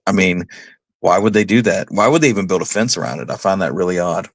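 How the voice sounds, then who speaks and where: A man's voice: low voice, fast talker, dry recording, clean studio sound. A man co-hosting a radio show, chatting casually with questions and comments.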